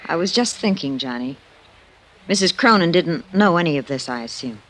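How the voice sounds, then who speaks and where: A woman playing an ingenuous, loyal, easily moved character in an old radio drama, breathy and halting. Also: on the low side, lively intonation, close to an everyday voice, low-quality recording. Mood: tender, wistful. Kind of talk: 1950s radio drama acting. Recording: vintage radio drama recording.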